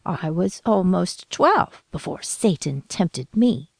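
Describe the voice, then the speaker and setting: A woman's voice: on the low side, lively intonation, slow, gravelly, slightly rough, dry recording, full character voice. A woman voicing a devout old grandmother who shrieks and pleads in panic. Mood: distraught.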